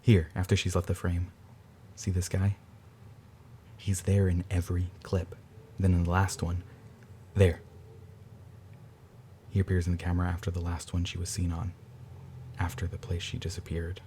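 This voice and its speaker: A man playing a grieving older brother who speaks quietly and haltingly. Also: low-pitched, monotone, slight background noise. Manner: halting, quiet, restarts under strain.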